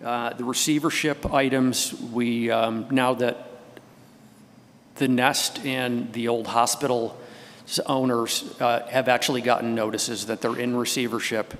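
A man's voice: mid-range voice, little pitch movement, a little slow, fairly bright tone. A middle-aged man with an American accent giving an informal staff update at a public meeting. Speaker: city staff official giving a report. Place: wood-panelled meeting hall. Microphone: gooseneck table microphone. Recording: echoey hall with background noise.

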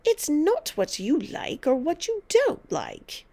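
A woman's voice: sits high, huge pitch swings, takes it slowly, a little mellow, voice pushed up, exaggerated voice. A woman voicing a tiny, bossy, mean old grandmother who scolds and snaps with gloating emphasis in a storybook reading. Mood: bossy, snapping.

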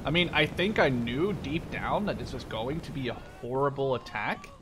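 A man in his twenties giving casual, rambling, sweary gameplay commentary in an excitable way. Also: mid-range voice, moderate pace, background noise. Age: 20s. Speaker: gamer, video host. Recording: loud game gunfire behind the voice. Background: gunfire and machine guns from game.

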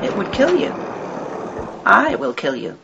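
A woman's voice: a little high, huge pitch swings, a little slow, vocal fry, exaggerated voice. A woman playing a sardonic, menacing demon inside a possessed girl in a radio horror drama, taunting with theatrical, clever wordplay. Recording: dull, noisy radio-play recording with clicks.

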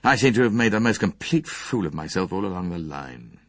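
A bitter, sardonic young man, played by a male audiobook reader. He speaks curtly and casually in a low, slightly husky voice, sounding angry and sorry for himself. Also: animated, fairly quick, fairly bright tone, dry recording, heavily acted. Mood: self-pitying, angry. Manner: curt, sardonic, casual phrasing.

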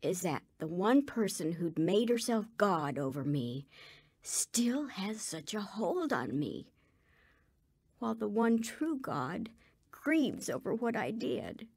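A woman's voice: medium pitch, sing-song, takes it slowly, a little mellow, slightly rough, no echo, heavily acted. An actress playing a remorseful woman burdened by shame, earnestly telling her life story in a radio drama. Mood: grieving. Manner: earnest, regretful. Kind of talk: dramatized first-person testimony.

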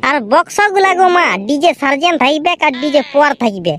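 A man voicing a cheeky, quarrelsome village lad who brags and mocks in a comic cartoon, with a pitched-up voice and a Purulia Bengali accent. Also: expressive, fast, noisy background. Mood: bragging, scheming. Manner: bragging, mocking.

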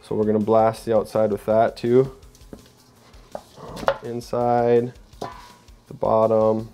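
A man's voice: monotone, slow pace, dark tone, soft background music. A young man hosting a casual cooking demo in a home kitchen, chatty and upbeat, narrating each step as he works. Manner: upbeat, chatty, says "boom" while working. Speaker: cooking show host.

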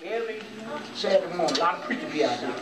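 A man's voice: a little high, lively intonation, very fast, very noisy. An older man preaching an impassioned, colloquial sermon, emphatic and repetitive, stumbling over words in bursts. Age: older, 60s or 70s. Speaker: pastor preaching. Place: indoor church sanctuary, pacing before congregation. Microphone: distant camera in the room. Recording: echoey church hall from far off, loud congregation.

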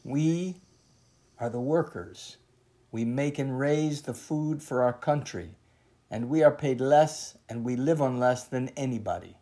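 A man playing a solemn labor organizer giving a measured speech to workers. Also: mid-range voice, warm tone, natural voice. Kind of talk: slow oratory.

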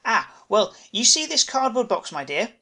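A pompous, middle-aged former ambassador on the skids, as a male narrator puts him on. The voice is pitched up and bright, full of bitterness and self-pity, in the manner of a comic audio story. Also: very animated, normal speed, creaky, heavily acted. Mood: despairing.

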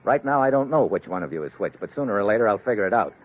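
An actor playing a tough, wary American secret agent in an old-time radio adventure, terse and hard-boiled. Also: male voice, fairly low pitch, expressive, racing, lightly acted. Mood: tough, commanding. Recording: muffled vintage radio recording with music.

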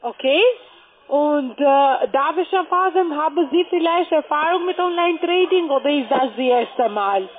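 A woman's voice: high voice, moderate pace. A woman with a foreign accent in German, not a native speaker, making a cold sales call as a call centre agent. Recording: tinny narrow phone line.

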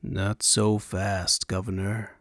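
A man's voice: on the low side, flat delivery, slow pace, a bit bright, no room sound, clean studio sound, voice pushed down. A man voicing an imperious witch hunter in an audiobook, with a cold, menacing drawl. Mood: cold menace.

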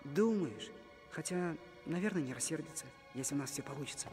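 Timid, kind-hearted dwarf lad for animation, male actor; light high voice, hesitant, music underneath. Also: expressive, brisk, darkish tone, big-room sound, close to an everyday voice. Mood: anxious. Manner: earnest, hesitant. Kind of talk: animated film acting.

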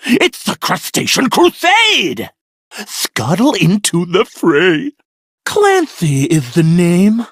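A man voicing a zany, bombastic lobster warrior in a video game, theatrical and raspy. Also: high-pitched, wildly swooping pitch, takes it slowly, a bit bright, no echo, clean studio sound, heavily acted. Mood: cocky, theatrical. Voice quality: raspy, gravelly. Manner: theatrical, bellowed battle cries. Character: zany male lobster warrior, bombastic self-styled crustacean crusader. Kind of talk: video game character voice lines.

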